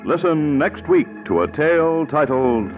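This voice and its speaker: A man's voice: fairly high pitch, expressive, a little slow. A man announcing formally in 1940s radio style. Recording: narrow old radio recording with organ music.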